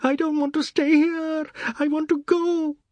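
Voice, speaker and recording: Storybook boy, played by a man: young, cheeky and defiant, pitched high, clean and close. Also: very animated, moderate pace, no echo. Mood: distraught. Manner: Caribbean creole grammar, cheeky retorts. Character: indignant, defiant young boy, stubborn and quick-tongued.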